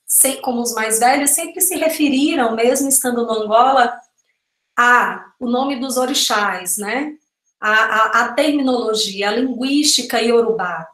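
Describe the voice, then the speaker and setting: A woman's voice: on the high side, a bit bright. A woman giving a reflective, explanatory talk as a panel speaker on a video call, often checking in with tag questions. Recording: roomy video-call audio.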